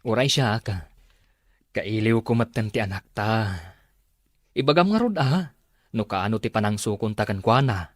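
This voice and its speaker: An easygoing middle-aged father in a radio drama. His voice is fairly low with a Filipino accent, recorded dry and close. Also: animated, normal speed, pauses often, dry recording. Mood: fond.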